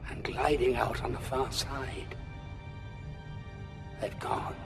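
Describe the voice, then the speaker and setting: An actor playing a curious, observant prisoner, a well-travelled time traveller, in an audio drama with a thoughtful manner. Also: male voice, medium pitch, steady tone, slow, long reverb tail, over music.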